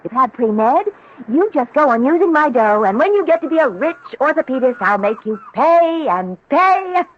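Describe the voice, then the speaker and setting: A pampered young American heiress, high-voiced and swooping, coaxing and playful yet set on getting her way. Vintage radio drama acting on a dull, hissy recording. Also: big pitch swings, normal speed. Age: young adult.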